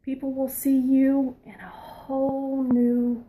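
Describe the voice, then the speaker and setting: A woman past her twenties giving a spiritual oracle card reading, earnest and encouraging, sometimes dropping into a soft whisper. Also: sits high, little pitch movement, takes it slowly, narrow, tinny audio. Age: adult past her twenties.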